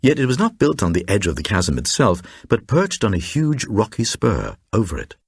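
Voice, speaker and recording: A very deep male voice, playing a grave traveller recounting an eerie radio tale. The delivery is deliberate and suspenseful, swinging widely in pitch, with a distinctly husky grain. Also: wildly swooping pitch, dry recording, studio quality, full character voice. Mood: uneasy, awed. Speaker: narrator. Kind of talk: dramatised first-person reading of a supernatural horror tale for radio.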